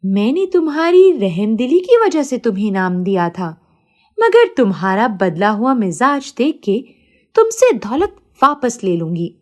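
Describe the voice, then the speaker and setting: A woman voicing a magical fairy in a children's story, speaking in slow, deliberate pronouncements, gentle but able to turn stern. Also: a little high, very animated. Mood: stern, displeased. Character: gentle magical bird fairy, female, turns stern with the proud.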